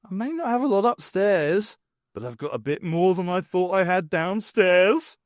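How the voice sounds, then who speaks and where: Cheeky, dim pantomime woman, acted by a man, raised pitch, perky and saucy, audiobook character. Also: expressive, normal speed, no room sound, studio quality.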